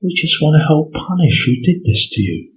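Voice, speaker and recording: An actor playing a suave police detective in his fifties, soft-spoken and reassuring, in an audio drama. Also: fairly high pitch, sing-song, normal speed, low-quality recording. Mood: gentle, reassuring. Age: 50s.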